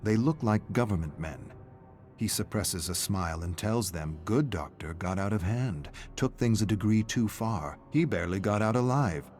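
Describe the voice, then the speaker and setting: A man reading dramatic scripted narration of a dark story in a measured, suspenseful way. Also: on the low side, lively intonation, soft background music.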